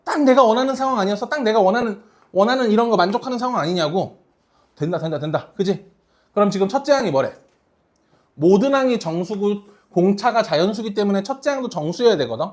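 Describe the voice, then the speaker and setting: A math teacher with a mid-pitched voice leads a class through worked problems. The delivery is informal and conversational, full of short questions to students to check that they are keeping up. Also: animated, quick pace. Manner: casual, informal, checks understanding often.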